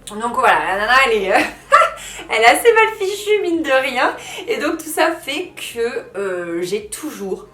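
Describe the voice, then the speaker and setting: A woman's voice: on the high side, big pitch swings, unhurried, fairly bright tone, roomy sound. A woman in her thirties, a vlogger, talking casually to camera in a house under renovation, with lots of fillers and restarts. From France. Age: 30s. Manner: chatty, frequent fillers and self-corrections. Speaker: lifestyle vlogger.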